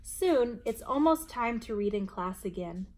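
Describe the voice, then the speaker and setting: A woman hosting a children's story time, reading aloud expressively and deliberately for young listeners. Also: on the high side, expressive, a little mellow.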